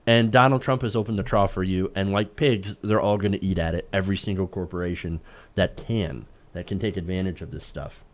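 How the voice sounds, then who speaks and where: A man co-hosting a political talk show, arguing his points emphatically with rhetorical questions. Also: lowish voice. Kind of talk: opinionated news commentary.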